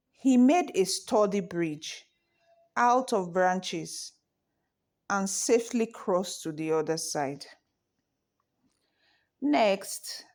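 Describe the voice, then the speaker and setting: A woman narrating a scripted children's folktale with measured, deliberate phrasing. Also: mid-range voice, a little mellow. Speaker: storyteller.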